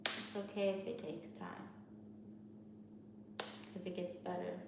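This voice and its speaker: A woman's voice: fairly low pitch, fairly even intonation, slow pace, vocal fry. A woman with a Haitian Creole accent, rambling candidly about her studies in a study vlog and often restarting her sentences. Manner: talks candidly, restarts and repeats herself. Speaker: student vlogger. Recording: very echoey room with noise.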